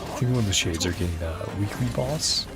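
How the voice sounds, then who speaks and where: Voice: deep voice, animated, fairly quick. A streamer reacting to a game in a casual, chatty, rambling way, sometimes reading dialogue aloud. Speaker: gaming streamer reacting to a story quest. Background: game music.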